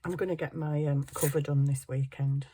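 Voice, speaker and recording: Hoarse woman, middle-aged, low voice, rambling self-mocking craft chatter, faint hiss behind. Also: fairly even intonation, moderate pace, mellow. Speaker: craft channel host. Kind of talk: casual crafting chat while working.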